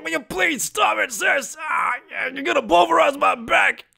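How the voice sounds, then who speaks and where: A man voicing a drunken, hot-headed younger brother who complains loudly in slangy speech, in an anime dub. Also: extremely high pitch, moderate pace, creaky, exaggerated voice. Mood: whiny protesting. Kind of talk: anime fan dub acting.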